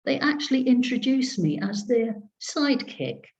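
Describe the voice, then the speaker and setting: A woman's voice: fairly high pitch, expressive, moderate pace, pitched above the performer's own voice. A woman storyteller voicing a confident, teasing woman psychic with dry wit, heard over a video call. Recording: dull lo-fi video call audio.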